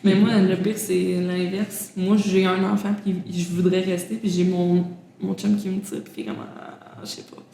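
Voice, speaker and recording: A woman's voice: lowish voice, little pitch movement, normal speed, creaky, mellow. A young woman with a Québécois French accent hosting a casual interview, chatty, laughing often and restarting her sentences. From Quebec, Canada. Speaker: interview host. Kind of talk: casual conversation. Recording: very roomy echo, faint background hum.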